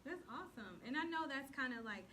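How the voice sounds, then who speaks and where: A woman's voice: high voice, animated, brisk, pushed and bright, far from the mic, slight background noise. A woman talk show host chatting casually and encouragingly with guests in an interview.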